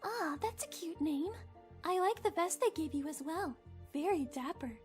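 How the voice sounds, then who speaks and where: A woman's voice: very high-pitched, expressive, normal speed, a little mellow, faint music behind. A woman voicing a sweet, composed young cartoon pony lady with a British accent and a polite, thoughtful way of talking. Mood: playful, kind.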